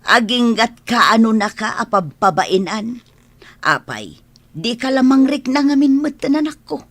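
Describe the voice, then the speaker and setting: A woman's voice: on the high side, expressive, measured pace, a bit bright, no echo, heavily acted. An actress playing an exasperated, protective elderly mother in a radio drama, with an Ilocano accent. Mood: nagging, exasperated.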